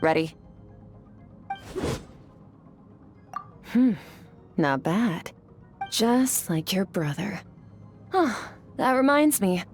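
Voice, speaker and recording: An actress playing a composed, stern sword master in a video game, measured and aloof as she instructs. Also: medium pitch, big pitch swings, faint music behind. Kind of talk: video game character acting.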